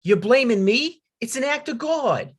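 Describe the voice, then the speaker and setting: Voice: mid-range voice, animated, measured pace, husky. An actor playing a dim young male slacker sidekick, casual and griping, in a comedy table read.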